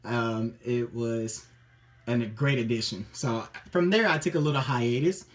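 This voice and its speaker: A man telling the stories behind his tattoos in a casual, upbeat way, often pausing on ums and restarting his sentences. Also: mid-range voice. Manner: chatty and upbeat, many ums and self-restarts. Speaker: interviewee showing his tattoos. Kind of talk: casual personal account to camera.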